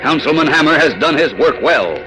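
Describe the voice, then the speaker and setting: Dramatic, higher-voiced male radio announcer. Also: animated, fairly quick, poor audio. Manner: dramatic, declamatory. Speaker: announcer and narrator. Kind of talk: 1940s radio scripted narration. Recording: old radio recording with loud music.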